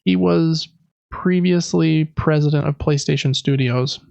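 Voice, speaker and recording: Higher-voiced man with a creaky edge. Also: unhurried, darkish tone, no echo, lo-fi sound. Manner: conversational, explanatory asides. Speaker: podcast host. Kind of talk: casual gaming news recap.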